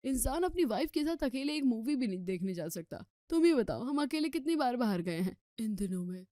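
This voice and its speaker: A woman's voice: fairly high pitch, very animated, fairly quick, mellow, no room sound, clean studio sound. A woman voicing a sulky, scheming young husband who complains with exasperation in a family story. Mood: sulky, indignant.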